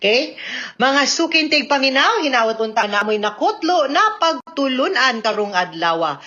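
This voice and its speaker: A woman's voice: sits high, very animated, moderate pace, bright, forceful tone. A woman with a Cebuano Filipino accent giving legal advice on the radio in an explanatory, conversational way with frequent tag questions. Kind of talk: spoken legal advice to listeners, mixing in English. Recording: slightly dull radio recording.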